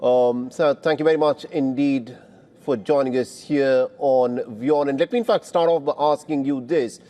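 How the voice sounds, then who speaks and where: A male news anchor with a mid-range voice reads breaking news in a measured, clear way, then moves into questioning a remote guest. His delivery stays steady and composed. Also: mid-range voice. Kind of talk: live breaking news presenting.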